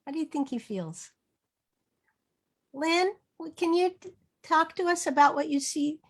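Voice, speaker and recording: A woman teaching through an interactive children's read-aloud, modeling phrases slowly and asking prompting questions. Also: high-pitched. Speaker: reading teacher. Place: online video session.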